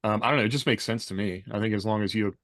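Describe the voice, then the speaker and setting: A man on a podcast panel explaining things casually, in a halting way with frequent rephrasing. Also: fairly low pitch, steady tone, a bit bright, no room sound, studio quality. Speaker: podcast panelist, military veteran.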